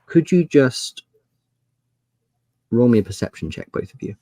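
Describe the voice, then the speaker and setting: A man's voice: mid-range voice, lively intonation, a little slow, dark tone, no room sound. A man running a tabletop role-playing game as game master, narrating casually and thinking aloud as he goes.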